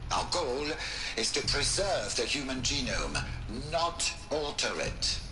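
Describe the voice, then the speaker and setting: An actor playing a proud, exacting scientist who delivers a formal recorded briefing in a video game. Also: male voice, medium pitch, expressive, slow, background noise. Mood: proud, firm. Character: precise, stern scientist heading a program.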